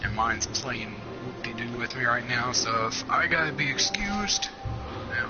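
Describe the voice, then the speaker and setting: A man's voice: mid-range voice, unhurried. Laid-back joking man on a game stream. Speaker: co-player. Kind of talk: casual gaming chat. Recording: dull lo-fi audio with music.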